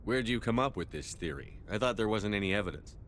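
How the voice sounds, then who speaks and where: Low-voiced, firm military commander. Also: male voice, lively intonation, quick pace, a bit bright, slight background noise. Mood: curious, direct. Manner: terse, direct. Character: cool-headed, firm male military commander. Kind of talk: video game dialogue acting.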